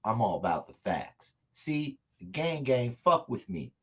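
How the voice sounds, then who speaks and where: A man acting a cocky, vengeful action hero in a comedy table read, with a husky voice and defiant speechifying. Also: medium pitch, very animated, unhurried. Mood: vengeful, menacing.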